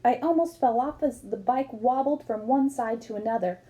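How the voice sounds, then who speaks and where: A woman's voice: a little high, normal speed, warm tone. A woman reading a book aloud expressively, as for children, acting up the dialogue. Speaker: book reader.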